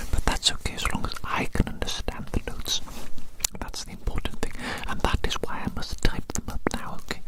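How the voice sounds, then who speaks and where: A person whispering breathily in an ASMR roleplay as a fussy, fascinated doctor, soothingly repeating short phrases. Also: poor audio. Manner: soothing, repetitive, murmuring phrases over and over. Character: fussy, fascinated doctor studying a little creature. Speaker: ASMR performer playing a doctor measuring a specimen.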